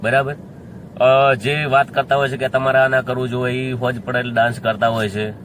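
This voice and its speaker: A man's voice: medium pitch, little pitch movement, fairly quick, fairly bright tone, a little hiss or hum. A man with a North Gujarat accent giving an informal, defiant and insistent address to viewers, often repeating his points. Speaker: singer addressing community critics.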